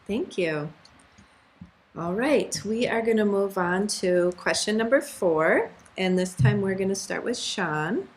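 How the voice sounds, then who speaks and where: A woman's voice: mid-range voice, animated, takes it slowly. Lady moderator, mid-range voice, formal, running a candidate forum. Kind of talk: formal moderating, reading questions. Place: indoor TV studio.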